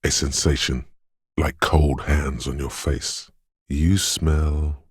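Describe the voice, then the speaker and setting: Very deep, husky, eerie inner voice. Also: male voice, little pitch movement, takes it slowly, no echo, clean studio sound, exaggerated voice. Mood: haunted, ominous. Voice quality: husky, gravelly bass. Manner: hushed, ominous, poetic, lingering on short phrases. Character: eerie, dreamy inner voice of a detective's intuition. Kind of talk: atmospheric second-person video game narration.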